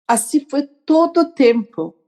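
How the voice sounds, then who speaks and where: A woman's voice: high voice, very animated, a little slow, dark tone. A woman, a podcast guest who tells her story earnestly in simple, broken phrases. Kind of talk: conversational storytelling.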